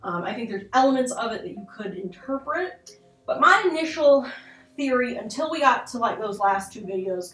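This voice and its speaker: A woman's voice: fairly high pitch, fairly bright tone. A young adult woman giving casual video commentary on horror, informal and sweary, with self-deprecating asides. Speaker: video essay host. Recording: roomy echo, distant mic, music underneath.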